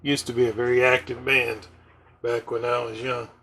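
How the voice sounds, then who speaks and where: An older man giving casual, low-key, unscripted commentary while demonstrating his radio as a hobbyist. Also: flat delivery, normal speed, gravelly, a bit bright, husky.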